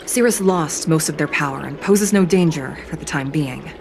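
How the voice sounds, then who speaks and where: Low, detached puppet-bodied judge. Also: female voice, vocal fry, noisy background. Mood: grave, formal. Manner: measured, detached, formal. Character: composed, emotionless judge woman in an artificial body. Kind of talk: video game voice acting.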